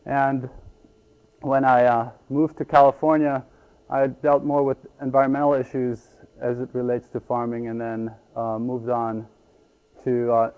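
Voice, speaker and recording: A man from Ecuador giving a conference talk as a farmer, halting with many ums and restarts. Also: medium pitch, level tone, smooth, narrow, tinny audio.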